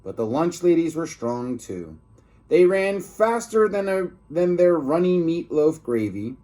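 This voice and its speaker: Male storyteller for children's book, slightly high voice, animated delivery, informal asides. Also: unhurried. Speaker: teacher reading a children's book aloud. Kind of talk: expressive read-aloud storytelling for children.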